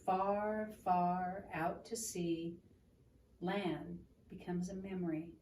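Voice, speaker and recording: A woman reading a picture book aloud to a child and explaining it in a gentle, teacherly way. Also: fairly low pitch, fairly even intonation, slow. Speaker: children's storytime reader. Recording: roomy, distant mic with room noise.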